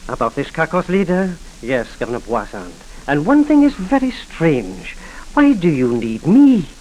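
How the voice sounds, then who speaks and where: An actor playing a courteous, skeptical American professor with a scholarly, questioning manner in a 1940s radio drama. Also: male voice, a little high, wildly swooping pitch, measured pace, darkish tone, full character voice. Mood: curious, probing. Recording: noisy 1940s radio broadcast recording.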